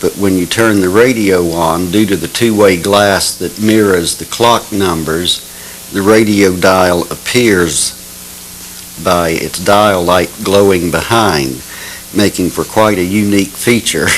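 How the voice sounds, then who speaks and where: A man's voice: sits low, slow, slight background noise, low-quality recording. A man explaining items as a presenter in a show-and-tell style. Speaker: presenter of vintage radios.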